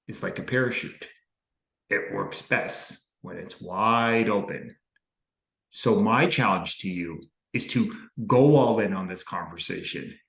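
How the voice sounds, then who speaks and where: A man hosts a podcast in a lowish voice, earnest and upbeat. He leans on motivational sayings. The sound has a roomy echo. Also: fairly bright tone. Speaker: podcast host.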